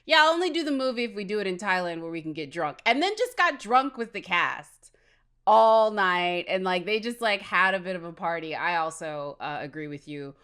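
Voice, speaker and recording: A woman's voice: lowish voice, expressive, normal speed, fairly bright tone, clean tone. A woman co-hosting a movie podcast, chatting casually and enthusiastically about films. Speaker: podcast co-host.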